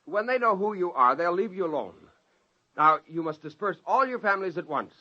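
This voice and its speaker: A man's voice: sits high, expressive, fairly quick. A man playing an exasperated, scheming father and inventor in a 1950s radio drama, flustered and insistent. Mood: urgent, flustered. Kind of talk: 1950s radio drama acting with first-person narration. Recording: dull, lo-fi old radio recording.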